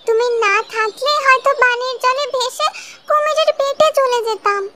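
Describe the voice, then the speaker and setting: A woman's voice: extremely high pitch, moderate pace. A woman voicing a caring, dutiful young village girl in a cartoon, with a childlike, earnest manner. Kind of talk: Bengali cartoon voice acting. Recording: loud music behind the voice. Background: music.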